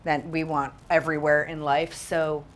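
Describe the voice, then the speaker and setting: A woman's voice: low voice, flat delivery, moderate pace. A woman on a makeup panel, speaking upbeat and casual.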